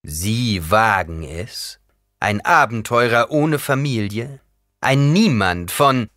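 A man's voice: deep voice, normal speed, slightly rough, no room sound, clean studio sound. Man voicing a haughty, indignant older emperor. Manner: imperious, affronted.

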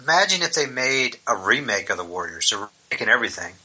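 A joking male co-host who tosses off quick asides in casual banter. His voice is mid-pitched and very bright and sharp. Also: big pitch swings, slightly rough, rough recording. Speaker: podcast co-host.

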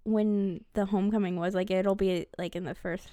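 A woman's voice: medium pitch, fairly even intonation, normal speed, warm tone, no echo. A woman in her mid-twenties co-hosting a podcast, chatting casually, telling stories and teasing her friend. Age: 20s. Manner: easygoing, teasing, storytelling. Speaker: podcast co-host. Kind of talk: casual chat.

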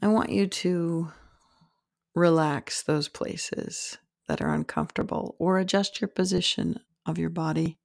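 A woman narrating a guided meditation as host, in a soothing, deliberate manner with pauses between short phrases. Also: deep voice, creaky, darkish tone, no room sound, clean studio sound.

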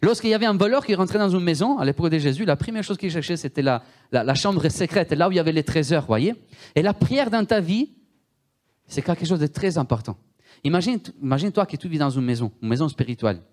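An Italian-born man preaching a sermon with a heavy Italian accent. His voice sits a little high for a man. He is emphatic, repeats words and often turns to the listeners with questions. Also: lively intonation, quick pace, darkish tone.

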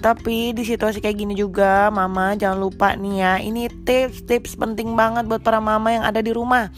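A woman's voice: mid-range voice, little pitch movement, fairly quick, soft background music. A young woman with a stuffy, congested nose, chatting casually and teasingly to mothers as a podcast host. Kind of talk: casual chat to mothers, mixing in English. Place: small rented room.

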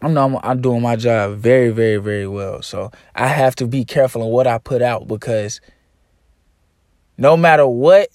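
A man's voice: mid-range voice, normal speed, a little mellow, no echo, clean studio sound. A man hosting his own podcast, talking casually, loosely and profanely as he encourages and preaches to his listeners. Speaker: podcast host. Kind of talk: casual motivational monologue.

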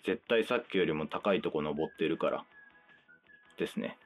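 A man's voice: a bass voice, normal speed, faint music behind. A man who is a radio guest, reciting quotations in a mumbling, murmured delivery.

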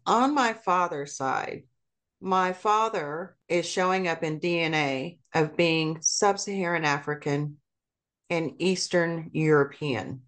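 Middle-aged woman, interview guest: earnest and measured, slightly low voice. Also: fairly bright tone. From South Korea. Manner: earnest, measured, spelling out details carefully. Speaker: podcast guest. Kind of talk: conversational interview.

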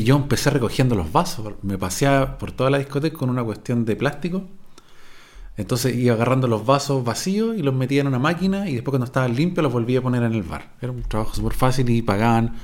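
A middle-aged man with a strong Chilean Spanish accent talks off the cuff on his podcast. He wanders from story to story, dropping slang and curses in a loose, chatty way. His voice sits at an ordinary middle pitch for a man. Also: a little mellow. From Chile, Viña del Mar. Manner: rambling, digressive, heavy slang and swearing. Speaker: podcast host. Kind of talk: improvised casual monologue.

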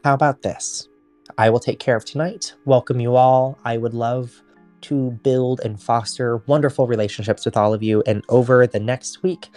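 A woman playing a brisk camp director. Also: rumbling low, warm tone, dry recording. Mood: warm. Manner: fast, businesslike, cheerfully overbooked. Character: brisk motherly female camp assistant director.

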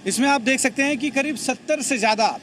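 Male field reporter, high-pitched voice, explanatory on-location delivery, clearly noisy city exterior. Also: brisk, fairly bright tone. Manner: explanatory, demonstrative, addressing viewers directly. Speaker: television news field reporter. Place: outdoors on city streets. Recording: noisy outdoor urban location with traffic.

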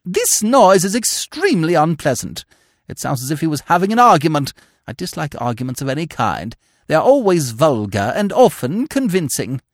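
A man's voice: on the high side, big pitch swings, normal speed, dry recording, clean studio sound, voice pushed up. A man voicing an imperious, haughty aristocratic older woman in a storybook reading.

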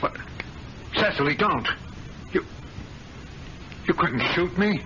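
An actor playing an agitated, domineering middle-aged husband in an old radio mystery drama. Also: male voice, high voice, expressive, takes it slowly, sharp tone, slight background noise, heavily acted. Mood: alarmed, coaxing. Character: menacing middle-aged husband of twenty-two years. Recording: dull vintage radio recording.

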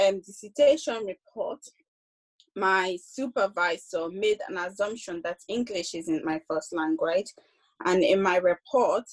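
A young woman speaking on a discussion panel, reflective and hesitant, with frequent pauses and restarts. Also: medium pitch, low-quality recording. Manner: hesitant, many ums and restarts.

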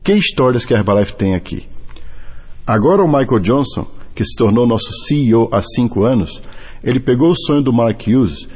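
A middle-aged Brazilian man with a Brazilian Portuguese accent, reading a motivational testimony in a deliberate, earnest way. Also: mid-range voice, expressive, darkish tone, husky. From Brazil (Bahia). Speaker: network marketing distributor. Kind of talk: scripted motivational testimony and read-out translation. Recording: long hall reverb.

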